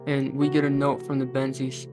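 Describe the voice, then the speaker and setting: Young guy narrating game lore, pretty flat delivery, guitar music playing under him. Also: medium pitch, normal speed. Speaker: gaming video narrator. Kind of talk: scripted game lore narration, turning casual at the end. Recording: music behind the voice. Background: plucked guitar music.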